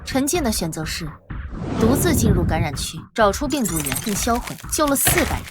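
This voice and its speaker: A woman playing a fearless, assertive young squad leader in an animated apocalypse drama, speaking articulately. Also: mid-range voice, a little fast, music in the background, lightly acted. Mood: firm, defiant. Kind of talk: animated web-drama dialogue.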